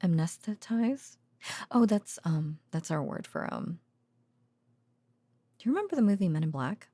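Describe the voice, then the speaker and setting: A woman voicing a tender, weary researcher girlfriend in an intimate ASMR audio roleplay, speaking softly and hesitantly, partly in whispers, close to the microphone. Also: on the low side, sing-song, a little slow, no echo, full character voice. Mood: wry, musing. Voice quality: soft, breathy, partly whispered. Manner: hesitant, drowsy, musing, with sighs and soft chuckles.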